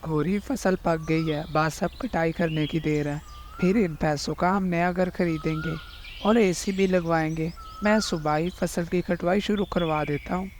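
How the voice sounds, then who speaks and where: A man's voice: on the high side, fairly even intonation, unhurried, a little hiss or hum, lightly acted. A man playing a boastful, sly crow who farms in a children's cartoon, with a mocking manner. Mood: eager, pleased. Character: boastful, sly male crow, a rich farmer. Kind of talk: Hindi cartoon dubbing.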